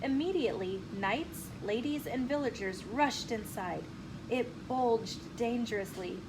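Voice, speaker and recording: A woman with a fairly high voice reads a picture book aloud for children. Her delivery is expressive and deliberate. The recording has noticeable ambient noise in the room. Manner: animated, deliberate storytelling with acted dialogue. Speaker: children's story reader and channel host. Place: inside a bookstore. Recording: clearly noisy room with ambient sound.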